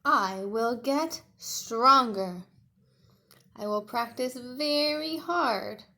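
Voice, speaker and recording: A woman's voice: a little high, drawn-out words, pushed and bright, pitched above the performer's own voice, full character voice. A woman voicing a tiny, earnest young male T. rex in a children's storybook, with emphatic, childlike delivery. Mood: determined. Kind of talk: children's storybook read-aloud.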